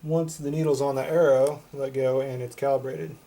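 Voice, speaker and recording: A man's voice: medium pitch, flat delivery, normal speed, warm tone. A man giving a casual product demonstration as a reviewer, plain-spoken and matter-of-fact. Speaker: product reviewer for a CB radio shop.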